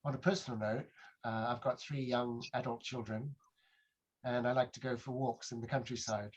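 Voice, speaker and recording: A man from England introduces himself briefly and matter-of-factly. His voice is husky and his pitch stays flat. The call audio is quiet and distant. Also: normal speed, muffled recording.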